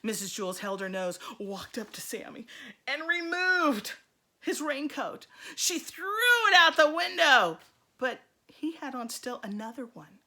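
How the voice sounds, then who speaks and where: High, animated woman reading a children's story. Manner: deliberate, dramatic emphasis for children. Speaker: first-grade teacher reading aloud. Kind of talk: expressive children's storybook narration.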